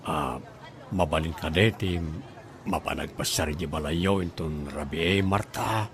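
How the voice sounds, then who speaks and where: A man playing a simple, excitable middle-aged bachelor in an Ilocano radio comedy drama, with an Ilocano accent. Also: very deep voice, a little slow, background noise. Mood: eager.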